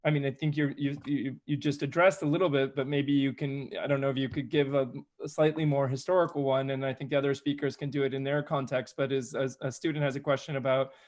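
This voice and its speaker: A man moderating a roundtable discussion over a video call, halting and restarting his sentences. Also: medium pitch, flat delivery, darkish tone.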